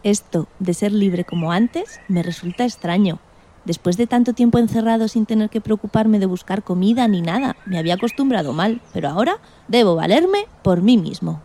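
A woman's voice: medium pitch, normal speed. A woman playing an indignant, timid male guinea pig who tells his story expressively in a children's radio play. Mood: joyful. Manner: expressive, exclamatory, plaintive.

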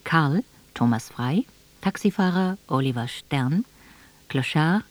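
A woman's voice: low-pitched, lively intonation, slow. A woman radio announcer reading a formal scripted broadcast announcement with careful enunciation in a studio.

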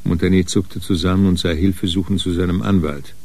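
A man narrating a radio crime drama in a measured, matter-of-fact way. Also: low-pitched, fairly even intonation, a little mellow. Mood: sober. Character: sober crime story narrator.

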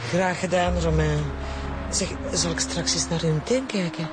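An actress playing a matter-of-fact, teasing forensic doctor with a Flemish accent in a radio drama. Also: female voice, on the low side, fairly even intonation, a little slow, music in the background, close to an everyday voice. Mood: teasing, clinical. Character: brisk, teasing female forensic doctor at crime scene.

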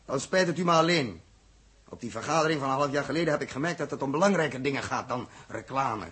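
A man's voice: medium pitch, fast, a bit bright, slightly rough. A man acting a blunt, principled spaceship commander in a 1960s Dutch science fiction radio drama. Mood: defiant, firm. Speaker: spaceship captain. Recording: noisy old radio drama recording, clicks.

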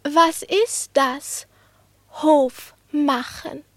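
A woman's voice: high voice, very slow, fairly bright tone, dry recording, exaggerated voice. An actress playing a naive, curious young female lapdog in a radio play, girlish and eager. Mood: innocent, puzzled. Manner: girlish, wide-eyed, breathlessly eager.

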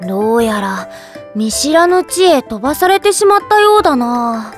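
A woman's voice: fairly high pitch, very animated, moderate pace, faint music behind. A woman playing a commanding female guard chief in an anime drama, with blunt, old-fashioned authoritative speech. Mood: composed, dignified. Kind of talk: Japanese anime drama CD acting.

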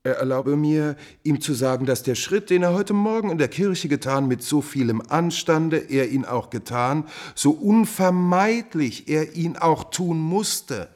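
An actor playing a calculating, coolly insolent court adviser in a classical German radio drama, with a measured, formal, insinuating delivery. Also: male voice, on the high side, darkish tone, natural voice. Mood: pointed, accusing.

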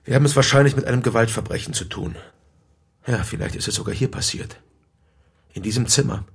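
An actor playing a calm police detective in a radio play, polite but insistent, with a gravelly, husky voice. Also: male voice, medium pitch, fast. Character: calm, persistent male police detective questioning a householder.

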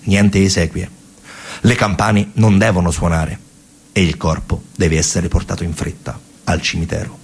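A man reciting as a stern, gravelly official. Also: low-pitched, flat delivery, normal speed, voice pushed down. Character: stern official voice of a military decree.

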